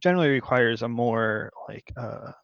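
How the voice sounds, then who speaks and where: A man with a gravelly, fry-tinged middle voice, explaining in a loose way with plenty of fillers. His mic sounds dull and lo-fi. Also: medium pitch, normal speed, no room sound. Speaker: co-host. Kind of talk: casual chat.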